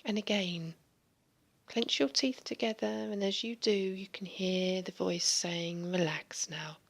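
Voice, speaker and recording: Slow, breathy whispering woman. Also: medium pitch, fairly even intonation. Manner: slow, soothing, largely whispered delivery. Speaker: hypnotherapist. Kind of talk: guided hypnosis recording.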